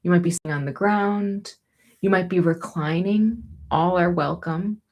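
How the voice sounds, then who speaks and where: A woman teaching yoga and breathing, giving gentle, soothing, unhurried encouragement as she guides each movement. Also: fairly low pitch.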